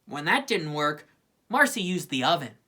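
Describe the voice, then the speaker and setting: A woman narrating a children's storybook in an expressive scripted reading style. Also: low voice, moderate pace. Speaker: storybook reader.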